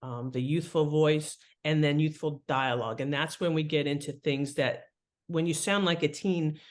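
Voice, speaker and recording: A woman guest explaining her craft in conversational interview talk, with an animated manner. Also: low-pitched, normal speed. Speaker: guest, fiction writing author.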